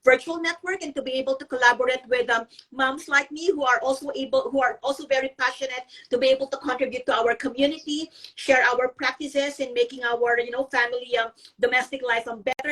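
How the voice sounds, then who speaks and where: Filipina mom on a video call, kinda high voice, lots of ums, sounds a bit far from the mic. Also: female voice, fairly bright tone. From Philippines. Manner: earnest, many ums and restarts. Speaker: guest blogger. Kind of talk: live video interview.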